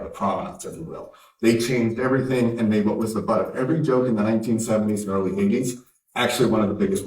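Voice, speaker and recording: A man from Canada with an American accent, giving an emphatic conference keynote speech with wry asides. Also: fairly low pitch. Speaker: conference speaker, company founder. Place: conference hall. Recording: roomy hall from a distance.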